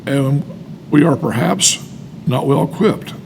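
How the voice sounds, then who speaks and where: An older man giving casual, earnest explanations with anecdotes, often pausing mid-sentence. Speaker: speaker on alcoholism recovery, retired medical worker. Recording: clear background noise with low rumble.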